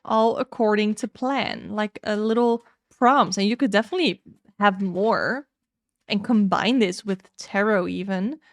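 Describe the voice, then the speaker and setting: A woman's voice: mid-range voice, unhurried, no echo, clean studio sound. A young woman whose first language is Dutch, casually reviewing upcoming tarot and oracle decks in a candid, chatty way. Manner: candid, chatty, frank opinions. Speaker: tarot YouTuber.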